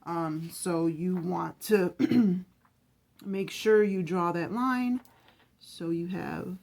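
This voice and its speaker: This is a woman with a slightly low, scratchy early-morning voice, talking casually through a craft project. She chats and rambles, often starting a sentence over before she finishes it. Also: very slow, creaky, a little mellow. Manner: chatty, rambling, self-correcting. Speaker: craft channel host.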